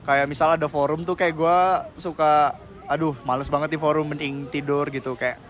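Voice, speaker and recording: A man's voice: on the high side, fairly even intonation, normal speed. A young man answering interview questions outdoors in a rambling, slangy way full of fillers. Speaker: senior member being interviewed.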